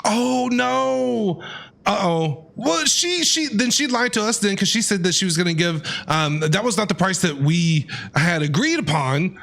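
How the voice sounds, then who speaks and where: A man's voice: high-pitched, lively intonation, measured pace, vocal fry, a bit bright. A man giving casual, enthusiastic reaction commentary as he plays a game, often repeating himself. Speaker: game streamer. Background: game music.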